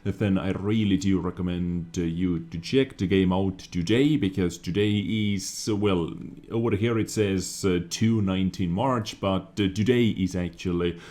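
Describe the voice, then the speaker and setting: A man's voice: sits low, steady tone, unhurried, mellow. A man hosting gaming news commentary in an opinionated, emphatic way, repeating phrases for stress. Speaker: gaming news show host. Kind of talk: video game news commentary.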